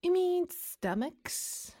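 A woman plays a chirpy little girl in a storybook. The voice is high and sing-song in its swings, a bit of a know-it-all. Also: very animated, drawn-out words, warm tone, no echo, studio quality, exaggerated voice.

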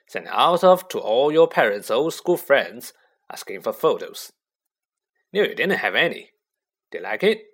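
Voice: mid-range voice, sing-song, measured pace, husky. A storybook reader voicing a huge, emotional, tender-hearted man with a blustery, blunt delivery. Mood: gruff, kindly.

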